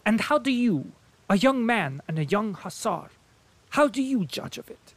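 A man reading aloud voices a stout, patriotic German colonel who declaims loudly and pompously. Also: high voice, very animated, measured pace, no room sound, pitched above the performer's own voice, full character voice. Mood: blustering. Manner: loud, emphatic, pompous declaiming.

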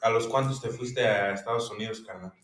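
Laid-back Mexico City host, echoey room. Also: male voice, on the low side, recorded from a distance. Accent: Mexico City Spanish. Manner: relaxed slangy banter, drawn-out phrases. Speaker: podcast host. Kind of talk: casual podcast chat.

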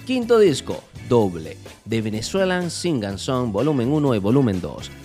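A man's voice: medium pitch, sing-song, normal speed, dark tone. A man with a Latin American Spanish accent, hosting a music radio podcast segment in a scripted, emphatic announcer style. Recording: music playing behind the voice.

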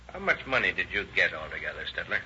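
An actor playing a forceful man confronting a killer in a 1930s radio drama. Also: sits low, sing-song, fast, exaggerated voice. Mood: grave questioning. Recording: muffled old radio recording with noise.

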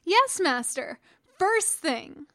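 A woman's voice: big pitch swings, drawn-out words, a bit bright, no room sound, studio quality, heavily acted. A woman voicing a frightened young boy apprentice who sobs and pleads with his master. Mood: eager. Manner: tearful, pleading, high and pressing.

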